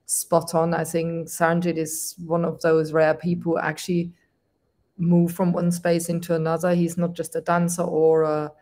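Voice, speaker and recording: Woman, German accent, livestream host, thoughtful, appreciative, slightly low voice. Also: completely flat delivery, moderate pace, a little mellow. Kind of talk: livestream discussion.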